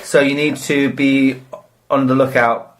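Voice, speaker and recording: Dry, deadpan young man. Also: medium pitch, a little slow, low-quality recording. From south of England. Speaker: podcast co-host. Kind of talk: casual comedic chat.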